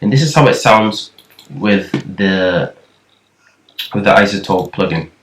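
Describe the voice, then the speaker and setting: A man with a thin, somewhat low voice talks through a casual tutorial, rambling and restarting as he goes. He sits well back from the mic in an echoey room. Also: expressive, measured pace, distant mic. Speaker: nasheed production tutor. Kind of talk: casual screen-recorded tutorial.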